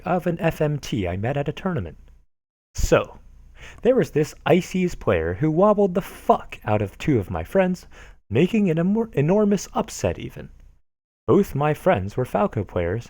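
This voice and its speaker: Male streamer reading forum posts, animated delivery, dry recording. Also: medium pitch, dry recording. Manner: animated, sarcastic, laughs at what he reads.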